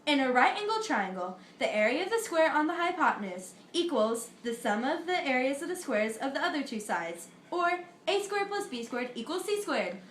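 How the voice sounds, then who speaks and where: A woman's voice: high-pitched, very animated, reverberant, slight background noise. A teenage girl playing the philosopher Pythagoras in a school mock interview, reciting her answers earnestly with small slips. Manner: earnest, recited, a little halting. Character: ancient Greek philosopher Pythagoras, an older man, earnest. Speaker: student in a school history project.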